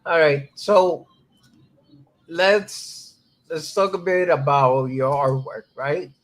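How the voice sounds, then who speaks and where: A man's voice: a little high, steady tone, takes it slowly, fairly bright tone. A man hosting a casual livestream interview, laid-back and chatty, greeting his viewers. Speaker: host.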